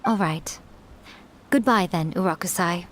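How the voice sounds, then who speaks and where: A woman's voice: on the low side, natural voice. A woman playing a sly, self-assured ancient fox shrine maiden in an anime-style video game, speaking in a coy, teasing, playful way. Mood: reassuring. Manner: teasing, coy, unhurried drawl on key words.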